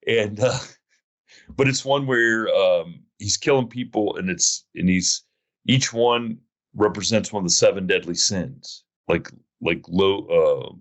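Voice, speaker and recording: A man with a very low voice hosts a casual podcast chat. He tells long, meandering stories and often makes fun of himself. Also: measured pace. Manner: rambling storyteller, self-mocking. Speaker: podcast host.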